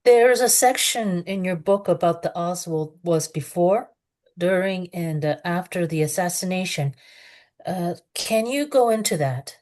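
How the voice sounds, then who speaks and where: A woman's voice: lowish voice, expressive, a little slow, darkish tone. A woman reading the part of a timid audience member who asks hesitant questions at a screenplay table read. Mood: tentative, curious.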